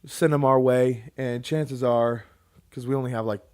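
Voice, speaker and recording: A man's voice: medium pitch, fairly even intonation, moderate pace, darkish tone. A man co-hosting a casual podcast, rambling with many fillers and restarts. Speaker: podcast co-host. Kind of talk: casual chat.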